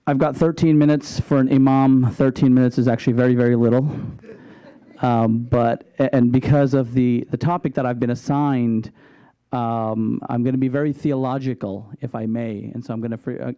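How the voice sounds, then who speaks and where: A man speaking as an imam at a public hearing panel, explaining his faith in a measured way with light self-deprecating humor. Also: mid-range voice, no echo, muffled recording.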